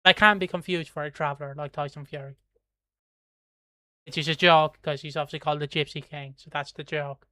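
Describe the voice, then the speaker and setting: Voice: mid-range voice, fairly even intonation, brisk, pushed and bright, dry recording. An Irish host from Kildare chatting casually on a livestream, rambling, swearing and laughing while reading viewer comments. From Ireland. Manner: plugs merch in a breezy, offhand way.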